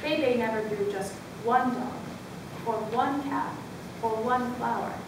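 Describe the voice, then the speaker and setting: A woman's voice: takes it slowly, gravelly. A woman narrating a scripted story in an amateur readers' theatre performance. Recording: distant, very echoey room, noisy with rumble.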